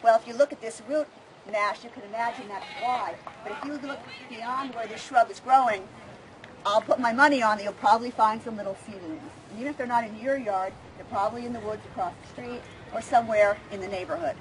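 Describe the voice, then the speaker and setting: A middle-aged woman gives an informal demonstration talk in an open grassy park. Her voice sits a little high. Outdoor ambient noise is clearly heard around her. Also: fairly quick, poor audio. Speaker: plant educator leading a walking tour.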